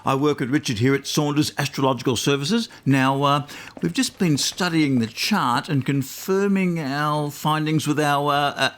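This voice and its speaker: A man's voice: fairly high pitch, moderate pace, fairly bright tone, close to an everyday voice. A man playing a smug, bluffing phone astrologer in a comedy podcast sketch, with hesitant, improvised salesman patter. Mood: bluffing, smooth. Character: bluffing male phone astrologer, smugly mystical con man.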